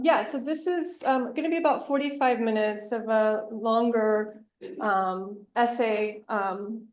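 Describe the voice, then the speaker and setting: A woman giving an academic lecture, a little hoarse from bronchitis, thoughtful and pausing often with ums. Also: mid-range voice, a little mellow. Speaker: guest lecturer. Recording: echoey lecture room.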